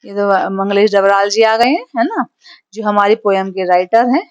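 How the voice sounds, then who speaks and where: Hindi-accented lady teacher going through a poem line by line, mid pitch, dry close sound. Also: animated, moderate pace, clear, resonant tone, no echo, highs cut off. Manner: explanatory, frequent "theek hai" check-ins.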